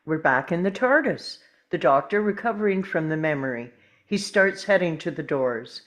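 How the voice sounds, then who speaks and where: A woman narrating screen directions from a script in the present tense at a table read. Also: on the low side. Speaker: stage directions reader.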